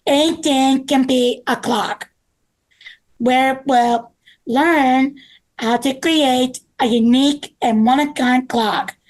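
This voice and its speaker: A woman's voice: high-pitched, rough recording. A woman playing a friendly, cheerful tour guide friend in a table read of a children's story. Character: friendly, organised museum guide friend Tori.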